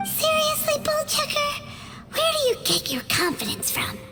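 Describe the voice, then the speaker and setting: A woman voicing a tiny, chirpy, easily indignant fairy girl companion with a squeaky childlike voice in a video game. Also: wildly swooping pitch, moderate pace, heavily acted. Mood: excited, bickering. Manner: exclamatory, speaks of self in third person. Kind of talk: video game cutscene acting. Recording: loud music under game dialogue.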